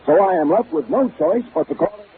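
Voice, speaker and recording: An actor playing a world-weary, wisecracking hardboiled detective with sardonic delivery in 1950s radio noir style. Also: male voice, high voice, lively intonation, quick pace, slight background noise. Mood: grimly theatrical. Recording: tinny 1950s radio broadcast with music.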